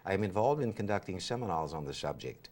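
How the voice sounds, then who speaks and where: A man's voice: low voice, animated, quick pace, a little mellow. A man voicing a formal male seminar organizer reading out his own letter, in a put-on Pakistani accent with stiff, overly formal phrasing.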